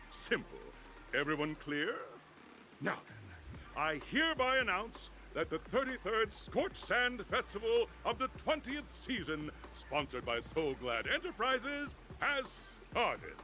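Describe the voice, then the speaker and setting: Swooping, grandiose festival announcer. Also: male voice, sits high, very animated, slow, pushed and bright, a little hiss or hum, exaggerated voice. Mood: grand, showy. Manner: grandiose, ceremonious. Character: showy male game-show announcer, grandly hyping a contest. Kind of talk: theatrical game announcement.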